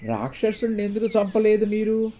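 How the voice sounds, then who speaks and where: A man's voice: sits high, lively intonation, moderate pace, slight background noise, pitched above the performer's own voice. A man voicing a bold, plain-spoken young village hero in a storybook tale. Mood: questioning. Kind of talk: storybook dialogue. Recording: dull, muffled lo-fi sound.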